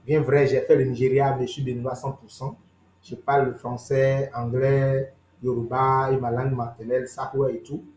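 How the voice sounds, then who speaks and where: A man's voice: medium pitch, flat delivery, normal speed, a little mellow, echoey room, a little hiss or hum, rough recording. A young man from Benin with a Beninese French accent, giving an online training session and checking in with his listeners as he explains. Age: young adult. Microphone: video call.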